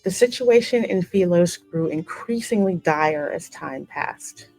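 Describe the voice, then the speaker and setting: A woman with a lowish voice narrates a fantasy game story. Her reading is measured and dramatic, with music playing behind her. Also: animated, darkish tone, low-quality recording. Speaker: gaming host voicing the player character. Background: musical game soundtrack.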